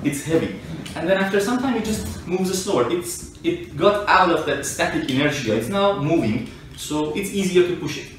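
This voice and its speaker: A man with a slightly high voice gives an informal, argumentative explanation. He sits far back from the mic in a very reverberant room, talking through simple everyday examples. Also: animated, normal speed, few pauses. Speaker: explainer, self-described engineer and scientist. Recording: echoey room, distant mic, faint noise.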